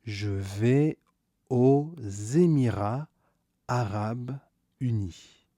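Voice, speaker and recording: A man teaching a scripted beginner grammar lesson, slowly repeating each key word and stressing it with a rise. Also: medium pitch, warm tone, no echo, studio quality. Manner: slow, deliberate, repeats key words with stressed rises. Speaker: French teacher.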